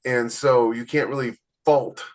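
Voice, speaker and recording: A man co-hosting a podcast in casual conversation, chatty, with quick interjections and emphatic repeats. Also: medium pitch, steady tone. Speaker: author and podcast co-host.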